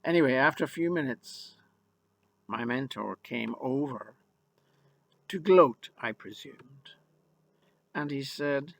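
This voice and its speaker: Slow, wry older man. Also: medium pitch, lively intonation, vocal fry, fairly bright tone. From Ireland. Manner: wry, rueful and emphatic. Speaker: memoir narrator. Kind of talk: first-person storytelling.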